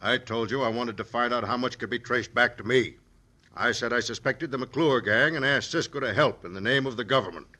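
A man playing a cold, scheming crooked official in an old-time radio western, businesslike and deliberate, with an American accent. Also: mid-range voice, sharp tone. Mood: insistent.

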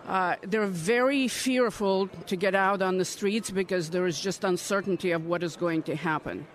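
A woman in her fifties from Ukraine, giving earnest, measured interview answers at a political conference. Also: lowish voice, slight background noise. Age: 50s. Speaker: congressional candidate.

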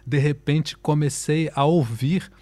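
A Brazilian man from Rio de Janeiro with a softened Carioca accent, a musician chatting as a guest and laughing easily. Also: fairly high pitch, slow. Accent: softened Carioca Brazilian Portuguese. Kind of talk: relaxed interview chat.

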